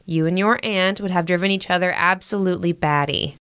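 A woman acting a patient, sensible mother who comforts with gentle firmness in a modern audio drama. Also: fairly low pitch, fairly quick, smooth, lightly acted. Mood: reassuring, firm. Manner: soothing, reassuring, gently firm.

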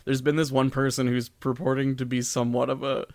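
A man chats casually as a podcast co-host. His voice sits at an average male pitch, and the sound is dry, with no room around it. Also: level tone, a little fast, darkish tone, no echo.

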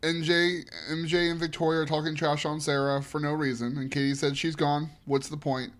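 A man's voice: on the high side, flat delivery, fairly quick, a bit bright. Emphatic, opinionated male podcast co-host. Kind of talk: casual chat.

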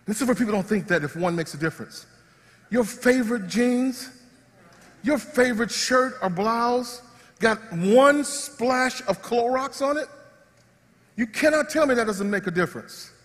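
A man preaching a lively sermon, acting out everyday scenes in voices. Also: extremely high pitch, measured pace, in a large hall. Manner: animated, acts out body parts in comic voices. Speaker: pastor. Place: church sanctuary.

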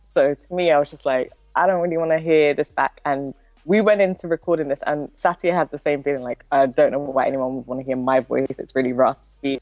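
A woman's voice: sits low, a little mellow, no room sound, highs cut off. A young woman with a nasal voice, chatting casually as a podcast guest and filling her talk with likes and ums. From Britain. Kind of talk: casual interview chat.

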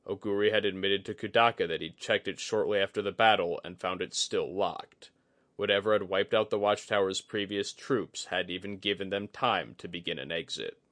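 Low-pitched man narrating fantasy fiction. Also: a bit bright, no echo. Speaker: audiobook narrator. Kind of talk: scripted fantasy story narration.

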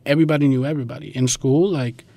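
Young male interviewee from Guatemala, mid-pitched, informal and slangy, recorded dry. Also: fairly even intonation, rapid-fire, creaky, mellow, dry recording. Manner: informal, slangy, peppered with "like" and "you know". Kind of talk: casual conversational interview.

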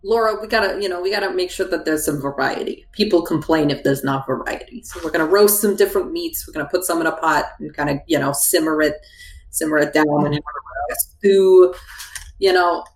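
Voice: medium pitch, a little fast, close to an everyday voice. A tabletop player voicing a chatty ninety-year-old gnome woman cook, rambling and folksy, genially evasive. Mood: breezy, coaxing. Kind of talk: improvised in-character tabletop roleplay. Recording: roomy echo, faint music behind.

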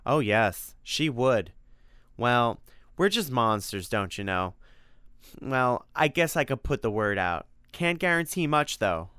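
A big ugly storybook monster, acted out by a man, good-natured and chatty. He speaks in folksy, mock-grand flourishes, eager to explain, with a clear voice recorded close and dry. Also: mid-range voice, sing-song, slow, sharp tone, no room sound, full character voice. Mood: obliging.

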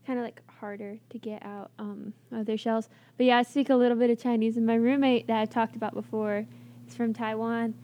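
A woman's voice: a little high, level tone, fairly quick, lo-fi sound. A young woman hosting a college radio interview, chatty and bubbly, with lots of filler words. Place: radio studio.

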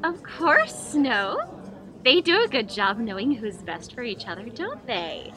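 A woman's voice: a little high, big pitch swings, a little slow, pushed and bright, full character voice. A woman playing a scheming young student in an audio drama, sugary and coaxing one moment and snide the next. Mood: feigned sweetness. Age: young adult. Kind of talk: acted audio drama dialogue.

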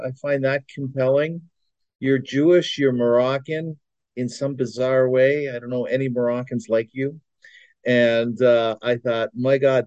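A man's voice: medium pitch, darkish tone, no room sound, muffled recording. A man chatting casually as a guest on a podcast. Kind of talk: casual conversational interview.

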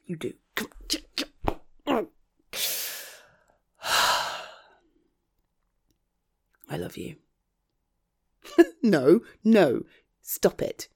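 A woman acting as a loyal, protective friend in an intimate audio roleplay, speaking earnestly and emotionally with halting, emphatic phrasing. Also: lowish voice, vocal fry, darkish tone, full character voice. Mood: tender, emotional.

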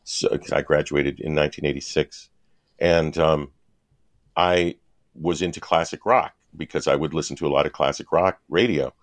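Very deep-voiced man in his fifties. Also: normal speed, no echo. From Wisconsin. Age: 50s. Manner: rambling, with many fillers and restarts. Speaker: guest cartoonist. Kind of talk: casual podcast conversation.

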